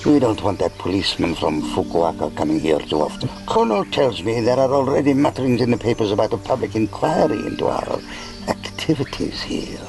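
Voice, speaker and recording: An actor playing a megalomaniac villain lord in a radio play, cold, grandiose and self-pitying, with measured speech and a gravelly voice. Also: male voice, fairly low pitch, lively intonation. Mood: cold, self-satisfied. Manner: pompous, measured. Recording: music behind the voice.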